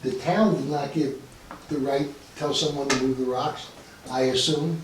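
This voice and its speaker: A man's voice: medium pitch, steady tone, a little slow. A man speaking as an aggrieved resident at a meeting, earnest and insistent, repeating his points. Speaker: resident and property owner. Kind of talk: unscripted meeting discussion. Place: indoor town board meeting room. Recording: very echoey room, distant mic, faint noise.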